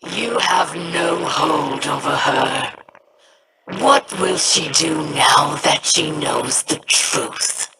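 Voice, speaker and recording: Cruel alien warlord, taunting, slow, noisy game audio. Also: female voice, low-pitched. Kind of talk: video game voice acting.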